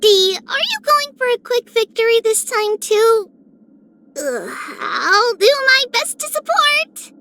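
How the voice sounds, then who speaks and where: A woman voicing an energetic, boastful little girl in a video game, with a perky, eager manner. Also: very high voice, slow pace, background noise, heavily acted. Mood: proudly cheerful.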